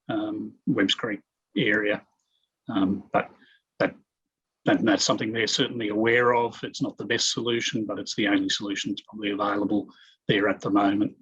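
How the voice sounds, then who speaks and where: A low-voiced man answers a resident's question in a flat, steady tone. His voice is husky and he restarts often. The audio sounds dull and muffled. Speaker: council officer. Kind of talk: answering questions at a public meeting.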